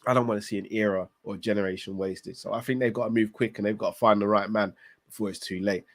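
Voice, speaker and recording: A man's voice: lowish voice, fairly even intonation, quick pace. A man hosting a football recap channel, talking casually and emphatically with strong opinions, his voice bunged up from a cold. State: congested from a cold.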